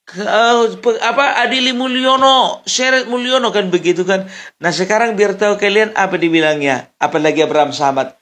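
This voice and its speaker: A man's voice: high voice, moderate pace, fairly bright tone. A man from Sumatra, hosting a casual political commentary monologue, laughing often as he mocks and speaks straight to his viewers. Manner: mocking, laughing often, addresses viewers directly. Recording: noisy background.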